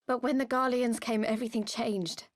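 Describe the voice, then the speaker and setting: Game heroine, twenties played by a woman: high voice, close dry sound, earnest and halting, baring a painful past. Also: steady tone, no echo, lightly acted. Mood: sorrowful, confessional. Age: 20s. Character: grieving young woman revealing her painful past. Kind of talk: dramatic video game cutscene acting.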